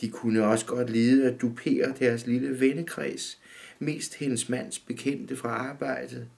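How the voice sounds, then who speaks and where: Man in his fifties, gravelly reading voice. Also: medium pitch. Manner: calm, measured reading, often looking down at the text. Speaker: author reading his own story. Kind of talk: literary reading. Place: dim room indoors.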